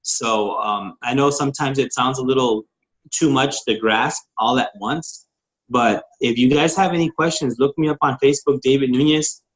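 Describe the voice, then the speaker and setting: A man's voice: medium pitch, moderate pace, rough recording. A man, a network marketing consultant from Texas, casually teaching on a group call, eager and informal, with many fillers and restarts.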